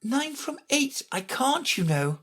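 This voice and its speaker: A woman's voice: high voice, big pitch swings, takes it slowly, fairly bright tone, breathy edge, voice pushed up, exaggerated voice. A woman voicing a polite, earnest little storybook girl who speaks in a careful, grave, well-mannered way. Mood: polite, unsure.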